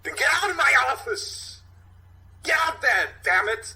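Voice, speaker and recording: Halting, theatrical, volatile police captain. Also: male voice, extremely high pitch, animated, pitched above the performer's own voice, full character voice. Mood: furious. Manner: halting theatrical monologue swinging between hushed and shouting.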